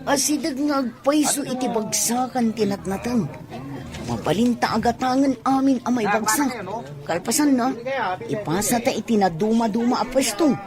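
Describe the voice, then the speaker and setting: A woman acts out a determined wife in a radio drama. Her voice is fairly high, and she talks in a practical, affectionate way. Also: animated, normal speed, crowd noise, natural voice. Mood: affectionate, pleased. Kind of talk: Ilocano radio drama acting.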